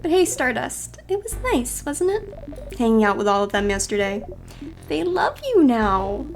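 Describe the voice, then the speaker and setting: A woman voicing a bright, teasing, familiar star companion from a video game. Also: a little high, big pitch swings, measured pace, slight background noise. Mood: chirpy, coaxing. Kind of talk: video game character voice read aloud.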